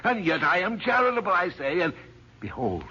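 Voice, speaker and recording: A self-important old physician of biblical times in a vintage radio play, with a bright, husky voice. He declaims in florid archaic English, swooping grandly up and down. The old recording is dull and boxy. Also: sits high, very animated, brisk, exaggerated voice. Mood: earnest amazement. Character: pompous, boastful, portly older physician of ancient Bethlehem.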